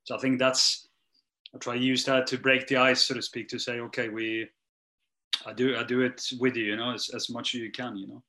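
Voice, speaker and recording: Hesitant non-native man, bright tone. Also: mid-range voice, level tone, fairly quick. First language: not English. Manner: hesitant, many restarts and fillers, trailing off quietly. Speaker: strength and conditioning coach. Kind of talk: interview answers.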